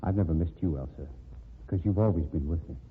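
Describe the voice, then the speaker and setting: A man's voice: low voice, fast, slight background noise, lightly acted. An actor playing a wary, bitter man who came back from the dead, in an old radio drama. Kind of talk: 1940s radio drama acting. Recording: tinny lo-fi old radio broadcast.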